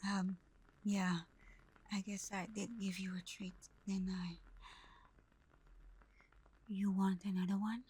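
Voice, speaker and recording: Slow, whispering vampire girlfriend, played by a woman. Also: mid-range voice, level tone. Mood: shy, cosy. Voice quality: soft, breathy whisper. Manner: gentle, unhurried, intimate, with soft pauses. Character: tender, devoted vampire girlfriend, adult woman. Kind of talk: ASMR roleplay, intimate monologue to listener.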